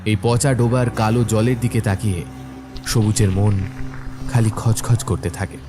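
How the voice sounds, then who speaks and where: A man's voice: fairly low pitch, steady tone, unhurried, over music. A man narrating a scripted horror cartoon as a dramatic storyteller.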